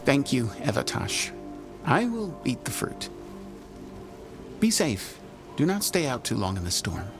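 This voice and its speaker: A gentle, guileless video game companion, with a very animated melody to his plain, formal sentences. He sounds simple-hearted and earnest, and music plays clearly behind him. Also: mid-range voice, measured pace. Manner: plain short sentences, slightly formal, no contractions.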